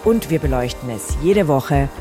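A woman's voice: a bass voice, expressive, moderate pace. A woman podcast host reading a scripted promo. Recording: music bed behind the voice.

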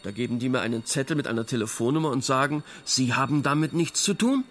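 A man's voice: mid-range voice, expressive, quick pace, a bit bright, close to an everyday voice. An actor plays an eloquent, ironic man of ideas in a radio play. His mid-range voice lectures with a touch of pomposity, over faint music. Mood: wry, lecturing. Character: wry male intellectual theorising about modern absurdity. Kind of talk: conversational radio play dialogue.

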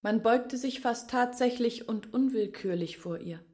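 A woman's voice: fairly high pitch, unhurried, a little mellow. An actress playing an earnest, confiding village woman in a literary radio drama.

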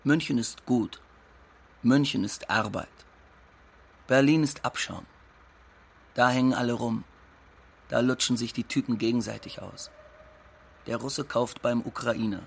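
An actor playing a cocky, scornful young migrant odd-jobber in a radio drama monologue, blunt and offhand, with a gravelly, husky voice. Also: male voice, medium pitch, a little slow, pauses often.

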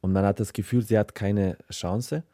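A writer gives a reflective interview in a deep, very mellow male voice. He gropes for words and doubles back on them, recorded dry and close. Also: steady tone, moderate pace, no echo. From former Yugoslavia. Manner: hesitant, searching for words, repeats words.

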